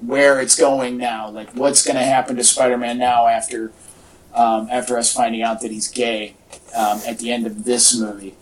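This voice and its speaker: A male podcast host chats casually at a middling pitch, rambling along with a wry, jokey streak. He sounds set back from the microphone, as in a homemade recording. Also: little pitch movement, normal speed. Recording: distant mic, echoey in parts.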